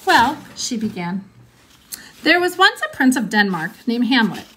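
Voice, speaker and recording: A woman in her thirties reading a story aloud expressively like a teacher, pausing for short teaching asides. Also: a little high, sing-song, fairly bright tone, a little hiss or hum, poor audio. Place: small indoor room with classroom alphabet wall strip. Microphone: phone at arm's length.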